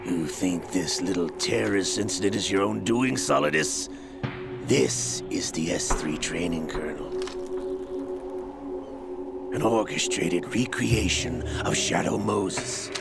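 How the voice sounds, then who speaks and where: An actor playing a smug, calculating villain in a video game, delivering a mocking, measured monologue in a gravelly voice. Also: male voice, sits low, lively intonation, exaggerated voice. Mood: gloating, mocking. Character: smug, calculating male villain, scheming double agent. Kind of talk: dramatic video game cutscene acting. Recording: loud music behind the voice.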